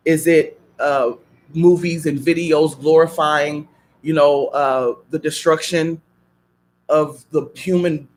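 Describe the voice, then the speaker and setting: A man's voice: fairly high pitch, fairly even intonation, takes it slowly. A man on a radio talk show, speaking in a preacherly way and building his points with strings of repeated questions. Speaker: guest.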